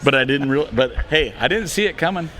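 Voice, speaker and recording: A man's voice: on the high side, expressive, a little fast, pushed and bright, a little hiss or hum. A middle-aged man, a business owner appearing as a guest on a casual talk show, speaking plainly and agreeing often. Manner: plainspoken, frequent short agreements.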